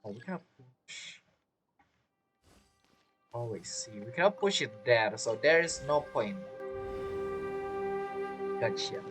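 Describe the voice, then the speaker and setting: A man's voice: medium pitch, sing-song, slow, long pauses. A man giving casual game commentary, musing aloud, repeating phrases and asking himself questions. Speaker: gamer narrating a playthrough. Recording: game music behind the voice.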